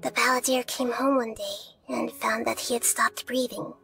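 A woman voicing a gentle, wise little girl deity in an anime game, telling a tale in measured phrases. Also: a little high, fairly even intonation, gravelly. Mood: gentle, sombre. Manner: measured, storytelling. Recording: game music underneath.

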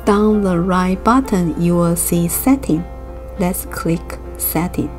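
Slow, gentle woman narrating. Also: lowish voice, faint music behind. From Taiwan. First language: Mandarin. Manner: gentle, unhurried explaining. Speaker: watercolor art channel host. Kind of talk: calm voice-over narration for a painting tutorial.

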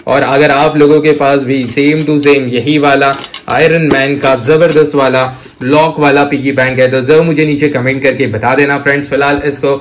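A man's voice: medium pitch, fairly even intonation, moderate pace, a little hiss or hum. A man chatting casually as a toy review host, effusive, piling up superlatives, mixing in English words and addressing viewers as friends.

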